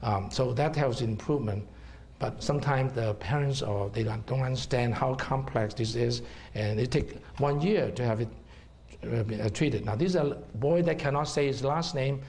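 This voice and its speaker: A man's voice: mid-range voice, moderate pace, darkish tone, slight background noise. A middle-aged man with a Hong Kong accent, a herbal doctor giving a seminar talk and explaining to the audience, checking in with "okay?". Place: seminar room.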